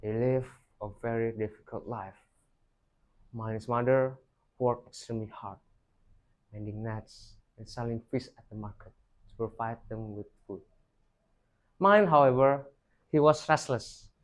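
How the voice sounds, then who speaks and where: A man's voice: medium pitch, unhurried, long pauses, low-quality recording. A young man tells a rehearsed folktale dramatically, in emphatic, declaimed phrases. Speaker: student storyteller.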